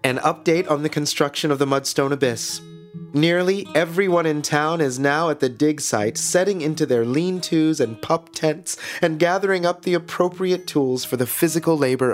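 An earnest, eerie small-town radio host in a fiction podcast. His fairly high male voice swoops expressively through theatrical storytelling. Music plays behind him. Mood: excited, awed. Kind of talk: scripted fiction podcast narration as a radio broadcast.

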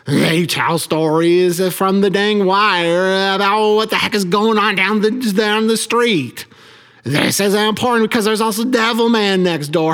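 A man hosting a podcast, introducing the show in a halting, folksy, oddly worded way with frequent stammers. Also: fairly high pitch, fairly bright tone, in a large hall. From Billings, Montana. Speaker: podcast host. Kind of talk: quirky scripted host monologue.

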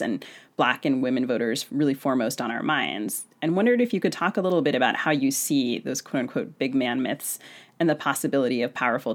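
A woman co-hosting a literary podcast, putting interview questions to a guest. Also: extremely low pitch. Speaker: podcast co-host.

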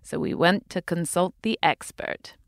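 A woman's voice: fairly low pitch, animated, a little slow. British woman narrating a podcast. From Britain. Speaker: podcast host. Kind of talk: scripted narration.

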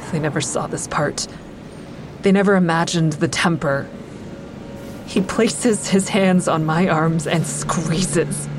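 An actress playing a bitter, grieving mother who is cold and unforgiving toward her ex-husband, in an audio drama with intense, deliberate delivery. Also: on the low side. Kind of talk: full-cast audio drama, first-person narration and dialogue. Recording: clearly noisy outdoor traffic ambience.

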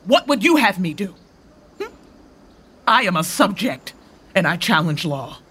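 A defiant banished duke presses his claim in firm, husky Shakespearean verse. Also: medium pitch, very animated, measured pace, bright, forceful tone, heavily acted. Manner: firm, persuasive verse delivery. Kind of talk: Shakespearean stage acting for radio.

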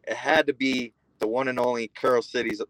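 A man's voice: medium pitch, fairly even intonation, a little fast, a bit bright, no room sound, low-quality recording. Enthusiastic young man guest on a podcast. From Florida. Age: around 30. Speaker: guest recruiting analyst. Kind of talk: casual sports podcast chat.

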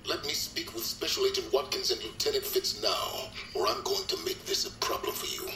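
An actor playing a blunt, combative American special agent in an audio drama, pressing hard. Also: male voice, medium pitch, huge pitch swings, a little fast, pushed and bright, roomy sound, soft background music, poor audio. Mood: angry, insistent.

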